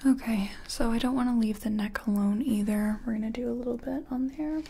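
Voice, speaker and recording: A woman speaking softly, mostly in whispers, in an ASMR makeup-artist roleplay, murmuring step by step as if to a client. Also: fairly high pitch, fast, vocal fry, husky. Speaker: ASMR creator role-playing a makeup artist. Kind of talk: soft-spoken ASMR personal attention roleplay.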